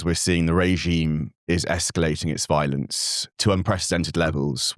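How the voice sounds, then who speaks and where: A young man, a native Farsi speaker raised in Britain, answering as a guest analyst in an earnest, measured way with frequent fillers and restarts. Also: sits low, dry recording, studio quality. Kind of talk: interview answers.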